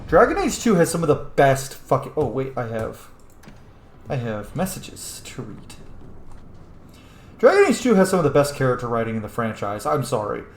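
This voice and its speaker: Casting: sweary, opinionated male streamer, mid-pitched and very expressive, casual gameplay chat in a roomy recording. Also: moderate pace. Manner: opinionated, profane. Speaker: game streamer. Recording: roomy sound with faint game music.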